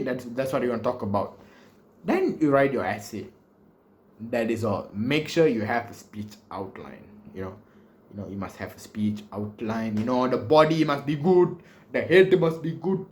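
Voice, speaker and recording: A young man in his twenties speaks with a Malaysian English accent, coaching viewers on speech writing in a loose, playful way. He talks into a close camera mic in a small bookshelf-lined room, jokes as he goes, and slips off topic now and then. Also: mid-range voice. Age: 20s. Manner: animated, joking, big hand gestures, wanders off topic. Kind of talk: casual vlog lesson. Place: small bedroom with bookshelves, indoors.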